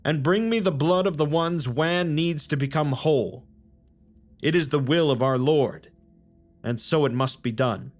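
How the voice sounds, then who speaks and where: A man's voice: a little high, measured pace, soft background music, close to an everyday voice. A man voicing a stern, honor-bound woman saint. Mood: commanding. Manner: solemn, stern.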